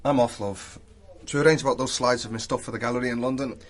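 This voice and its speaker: An actor playing a proud, evasive young artist in a radio drama, speaking in a cool, measured, slightly pompous way. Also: male voice, medium pitch, steady tone, close to an everyday voice.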